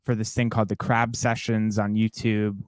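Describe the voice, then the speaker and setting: A young man, a songwriter guest, talking in a relaxed and reflective way, rambling with many fillers. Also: lowish voice, moderate pace, dry recording, clean studio sound. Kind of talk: casual interview conversation.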